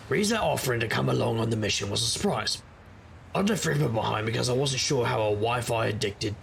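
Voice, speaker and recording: An actor playing a wry, sardonic supernatural private investigator who narrates in conversational noir style. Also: male voice, medium pitch, vocal fry, a bit bright, slight background noise. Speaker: narrator and lead character. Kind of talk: noir first-person scripted narration.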